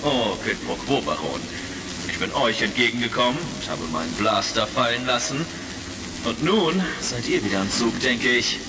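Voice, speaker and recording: Gravelly, cool space hero. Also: male voice, medium pitch, lively intonation, moderate pace. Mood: cool, wry. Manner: wry, self-assured. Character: confident, cool-headed male space commander hero. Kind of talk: German retro sci-fi radio play acting. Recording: noisy, slightly distant vintage tape sound.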